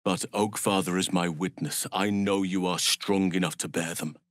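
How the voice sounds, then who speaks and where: An actor playing a calm, wise elven druid man in a video game, speaking in a measured, formal, earnest way. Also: low-pitched, dry recording, clean studio sound, close to an everyday voice. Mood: grave, gentle. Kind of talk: video game character voice lines.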